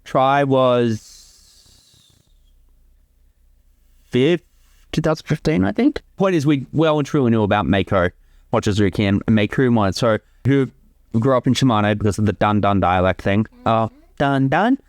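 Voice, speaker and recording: A man's voice: medium pitch, unhurried, long pauses, no room sound. Chatty man in casual commentary, dry sound. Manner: chatty, informal, swears casually. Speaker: co-creator giving commentary on own fan series. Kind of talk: casual creator commentary chat.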